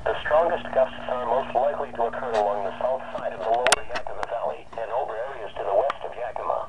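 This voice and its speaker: A synthetic-sounding man reading an automated weather bulletin in a measured, formal way through a radio speaker. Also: medium pitch, lively intonation. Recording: muffled, noisy weather radio broadcast.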